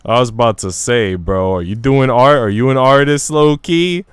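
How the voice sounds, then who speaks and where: A man's voice: medium pitch, normal speed, clear, resonant tone, no echo, soft background music. A man live streaming, switching between casual slangy chat and reading visual novel narration aloud. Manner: slangy, informal.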